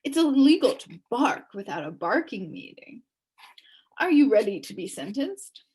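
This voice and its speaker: Woman as a fierce, bossy little policeman, high-pitched. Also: big pitch swings, moderate pace, darkish tone, husky. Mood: officious. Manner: accusing, blustering, officious. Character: tiny, squat, fierce bossy policeman, male.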